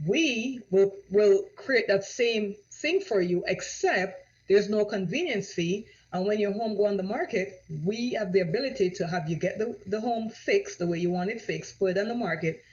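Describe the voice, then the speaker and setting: A woman from Jamaica with a Jamaican accent, a real estate agent pitching her home-selling guarantee, full of rhetorical questions and a repeated "right?". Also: a little mellow. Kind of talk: direct-to-camera sales pitch. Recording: dull lo-fi audio.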